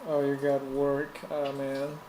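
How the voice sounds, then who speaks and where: A man's voice: mid-range voice, monotone, warm tone. Puerto Rican man chatting over a noisy stream. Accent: Puerto Rican Spanish. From Puerto Rico. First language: Spanish. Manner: halting, repeats words, hums and chants to himself. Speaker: livestream host. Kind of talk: live streaming chat while working. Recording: noticeable background noise.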